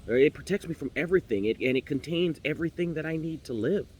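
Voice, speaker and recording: A man's voice: on the high side, expressive, fast, a little mellow. A man voicing a timid, sheltered female pet canary in a storybook tale, stammering, flustered and devout. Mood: earnest, defensive.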